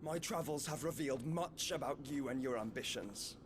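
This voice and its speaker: A man's voice: mid-range voice, normal speed, background noise, lightly acted. An actor playing an earnest, defiant young nobleman in a fantasy game, speaking formally and resolutely. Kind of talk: dramatic fantasy game voice acting. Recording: loud orchestral music behind. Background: loud music.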